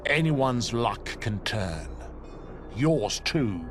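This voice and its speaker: Wry, slow-talking caged prisoner. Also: male voice, mid-range voice, sing-song, over music. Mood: resigned. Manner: wry, matter-of-fact. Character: cynical, resigned seasoned male prisoner in a cage. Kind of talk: dramatic video game acting.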